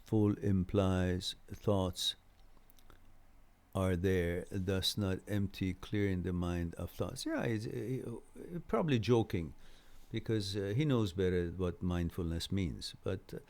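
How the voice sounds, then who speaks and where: A middle-aged man, a native speaker of Farsi, hosts a live Q&A in an informal lecturing style. His voice sits low and has a marked gravelly fry. He explains things haltingly, pausing as he goes. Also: a little mellow. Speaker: host of a live mental health Q&A. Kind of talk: informal live lecture, answering viewer messages.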